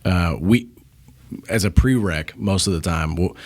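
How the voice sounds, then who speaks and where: A man with a very low-pitched voice talks as a guest on a podcast. His delivery is informal and blunt, in the loose style of a conversational interview. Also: unhurried. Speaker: podcast guest and media company founder. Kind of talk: casual conversational interview storytelling.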